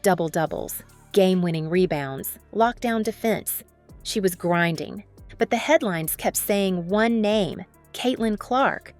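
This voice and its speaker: A woman's voice: medium pitch, measured pace, faint music behind. A woman delivering a dramatic, scripted sports news narration.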